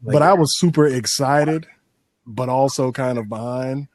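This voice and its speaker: A man's voice: medium pitch, measured pace, a little mellow, dry recording. A man co-hosting an interview who asks questions casually and chats about his own similar experiences. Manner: chatty, tells his own anecdotes. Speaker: show co-host.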